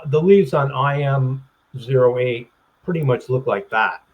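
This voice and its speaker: A man speaking as a guest over a webcam video call, rambling and halting, clearing his throat and restarting his sentences. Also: mid-range voice, dull audio, crowd noise. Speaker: plant grower, interview guest. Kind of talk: casual interview chat.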